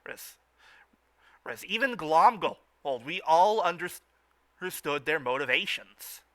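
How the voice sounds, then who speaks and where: A man's voice: on the high side, lively intonation, measured pace, a bit bright. A man in a casual fan discussion as a podcast co-host, often stammering and repeating words and phrases as he goes. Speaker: podcast co-host reviewing a cartoon.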